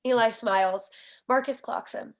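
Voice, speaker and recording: A woman's voice: a little high, normal speed. A woman reading stage directions as scripted narration at a screenplay table read.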